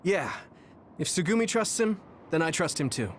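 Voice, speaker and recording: A man's voice: fairly high pitch, very animated, normal speed, a little hiss or hum. A man voicing an earnest, determined young hero in an English video game dub, speaking resolutely. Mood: trusting.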